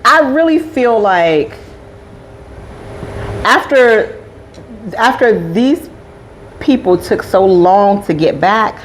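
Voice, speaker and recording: A woman's voice: medium pitch, animated, slow pace, pauses often, a little hiss or hum, poor audio. A woman co-hosting a podcast in casual chat, emphatic and repetitive, still a little congested. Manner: emphatic, repeats phrases, says "child" and "girl". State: lingering congestion. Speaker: podcast co-host.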